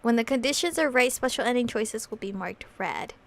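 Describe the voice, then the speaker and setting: A woman's voice: a little high, fairly quick, a bit bright. A woman doing a casual visual novel playthrough, reading story text aloud and reacting with slangy, sweary commentary. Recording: music playing behind the voice. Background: background music.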